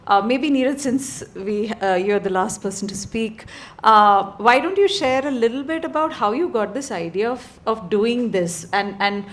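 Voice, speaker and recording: A woman's voice: a little high, moderate pace. A woman moderating a panel discussion, thanking speakers and asking questions in an encouraging way.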